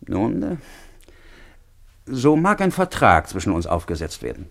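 An actor playing a proud, honourable lover in a classical German radio play, speaking in a formal, earnest manner. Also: male voice, on the low side, huge pitch swings, a little slow, gravelly, a bit bright, heavily acted. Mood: proud, stern. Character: proud honourable male lover, trusting and quick to defend honour.

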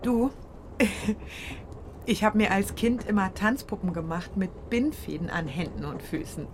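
A woman's voice: mid-range voice, expressive, measured pace, natural voice. A woman playing a resolute, chatty young refugee widow in naturalistic audio drama dialogue. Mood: nostalgic. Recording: noisy train compartment ambience.

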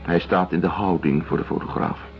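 Radio drama: a low-voiced, world-weary doctor, deliberate and detached, on a muffled lo-fi recording. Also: male voice, fairly even intonation, soft background music. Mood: grave, observant. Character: weary, detached doctor-writer documenting a penal colony. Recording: dull lo-fi radio play recording.

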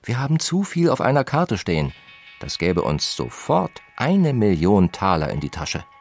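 An actor voicing a 19th-century businessman reading out his frank letters in an expressive, deliberate way for an audio documentary. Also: on the low side, creaky, soft background music, heavily acted. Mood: pragmatic.

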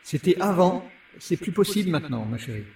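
A man's voice: high-pitched, sing-song, brisk, gravelly, dark tone, slight background noise, exaggerated voice. A man playing a protective father who speaks firmly and admonishingly in an audio story. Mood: firm refusal. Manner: firm, admonishing. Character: protective, firm father of a young girl. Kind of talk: audio fiction dialogue. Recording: echoey, distant microphone.

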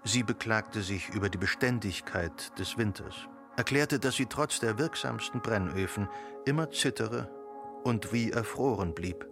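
A man reading literary narration for a radio drama in a measured, deliberate way. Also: lowish voice. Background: music.

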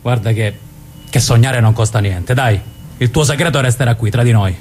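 A man's voice: mid-range voice, normal speed, a little mellow, slight background noise, natural voice. A man playing a blunt, protective but insecure brother with a southern Italian accent, in a colloquial radio drama reading. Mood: teasing, affectionate.

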